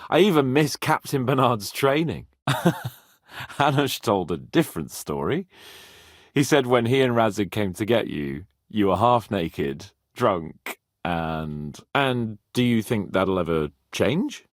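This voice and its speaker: A man's voice: on the low side, expressive, slow pace, dry recording, clean studio sound. An actor playing a brash young medieval nobleman in a video game, with a British accent and a witty, teasing, haughty manner. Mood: wry, casual.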